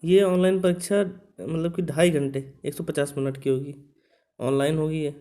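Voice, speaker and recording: A man with a soft, mellow voice pitched a little high. He talks like a coaching instructor going through an official notice for students, conversational and explanatory, often adding small tag words to check in. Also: fairly even intonation, normal speed. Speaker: exam coaching instructor and channel host. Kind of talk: informal explanatory lesson, reading out a recruitment notice.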